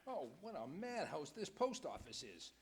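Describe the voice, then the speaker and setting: An actor playing a hapless, flustered pal in an old-time radio comedy. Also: male voice, fairly high pitch, huge pitch swings, normal speed.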